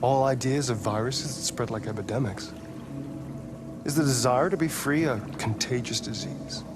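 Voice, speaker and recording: An actor playing a calm, provocative male genius inventor in a game cutscene, speaking in a languid, philosophical way with soft taunts. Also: medium pitch, normal speed, music in the background, lightly acted. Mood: musing. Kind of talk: video game cutscene acting.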